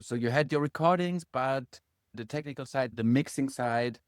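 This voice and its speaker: A man hosting a casual interview as a teacher, speaking in an affirming way with halting phrasing. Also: medium pitch, clear, resonant tone, no echo, clean studio sound. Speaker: interviewer and music production teacher.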